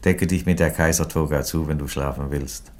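A Roman emperor in a radio play with an extremely deep voice. He is theatrical and measured, lingering on phrases with dignified irony. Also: steady tone. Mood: calm, satisfied. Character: ironic, dignified Roman emperor resigning his empire, male. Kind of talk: German radio play stage acting.

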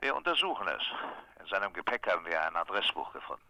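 Sardonic police chief, low gravelly voice with lively swings, clipped, over a phone line in a radio play. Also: male voice, quick pace, dry recording, full character voice. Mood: brisk, sardonic. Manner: clipped, forceful. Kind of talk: radio crime drama dialogue.

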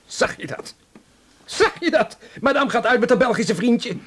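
A man's voice: very high voice, animated, normal speed, a bit bright, breathy edge, full character voice. An actor playing a surly, easily offended policeman in a radio drama, brusque and grumbling. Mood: spiteful. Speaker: police officer at station desk. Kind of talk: naturalistic radio drama acting.

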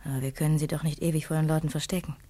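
An actress in a German radio play playing a desperate, scheming wife who hides a crime, speaking secretively. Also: female voice, deep voice, flat delivery, racing, dark tone. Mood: bleak, desperate.

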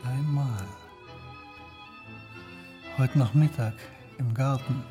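A man's voice: medium pitch, fairly even intonation, extremely slow, music in the background. An actor playing a brooding, guilt-ridden man in a radio play, speaking in a subdued, hesitant way. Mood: subdued, guilty.

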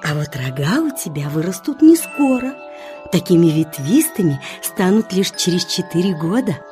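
Tender, lively mother deer. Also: lowish voice, normal speed, soft background music. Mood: instructive. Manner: tender, instructive, expressive. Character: caring, patient mother roe deer teaching her young son. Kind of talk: children's audio play acting.

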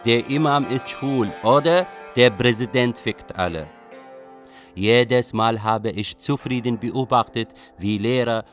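A man's voice: medium pitch, lightly acted. An actor playing a dryly reflective man who confesses his rebellious youth in a radio drama monologue. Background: guitar music.

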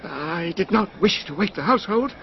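Bereaved English husband, very high pitch, formal, solemn, radio drama, muffled recording with birdsong ambience. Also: male voice, animated, normal speed, creaky, slight background noise. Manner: stiff, formal phrasing.

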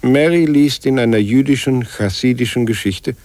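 An actor as a plain, restrained reporting narrator in a 1950s radio drama. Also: male voice, medium pitch, normal speed, darkish tone, lightly acted. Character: restrained male narrator reporting on a reading woman.

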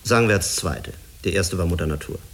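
A deep, fast, curt commander. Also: male voice, vocal fry, a bit bright. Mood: probing, skeptical. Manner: clipped, interrogating, authoritative. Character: hard, skeptical male spaceflight commander, probing and curt. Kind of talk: German radio drama acting.

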